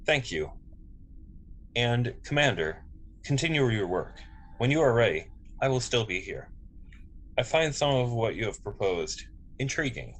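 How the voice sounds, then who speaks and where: A courteous, earnest android officer played by a man speaks in a science fiction drama. The wording is formal and precise, while the mid-range voice moves with a lively melody. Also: medium pitch, a little slow, long pauses, slight background noise, low-quality recording. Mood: calm, formal. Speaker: amateur actor in a table read.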